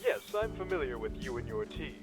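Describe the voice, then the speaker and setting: A man's voice: mid-range voice, big pitch swings, brisk, close to an everyday voice. A man voicing a curt corporate account manager. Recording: game voice over music.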